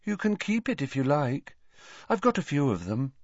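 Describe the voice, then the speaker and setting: A dreamy, eccentric schoolgirl played by a male reader speaks in a raised, airy pitch. She is guileless and vague, a storybook character heard on a slightly muffled recording. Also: big pitch swings, normal speed, no room sound. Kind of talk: audiobook character voice.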